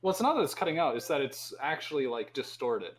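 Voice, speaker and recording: A man's voice: mid-range voice, sing-song, quick pace. A man casually explaining a technical problem on an online voice call. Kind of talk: casual troubleshooting chat. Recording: online call with faint noise, clicks.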